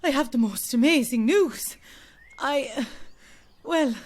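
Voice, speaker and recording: An actress playing a devout Irish parishioner, out of breath, tearful and overexcited, in an audio drama. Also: female voice, high voice. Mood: breathless excitement. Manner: gasping, halting, overwrought. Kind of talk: heightened audio drama acting.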